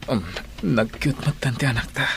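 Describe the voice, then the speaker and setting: An actor playing a remorseful young father in an Ilocano radio drama, speaking earnestly and haltingly with emotion. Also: medium pitch, fairly even intonation, vocal fry, noisy background, close to an everyday voice. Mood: tender, hesitant. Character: remorseful young father pleading for a second chance. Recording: music bed behind the voice.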